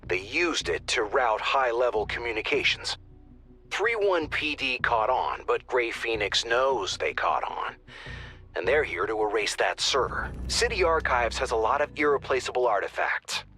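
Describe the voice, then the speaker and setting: Bright, brisk male mission handler. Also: mid-range voice, expressive, slightly rough. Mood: brisk, businesslike. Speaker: tactical mission controller. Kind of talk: scripted game mission briefing.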